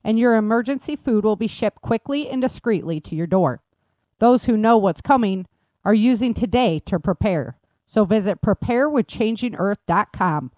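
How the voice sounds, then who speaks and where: A woman's voice: mid-range voice, a little mellow, dry recording, muffled recording. Dry, close-miked woman reading promos. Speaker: series creator and host. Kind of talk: scripted sponsor and promo reading.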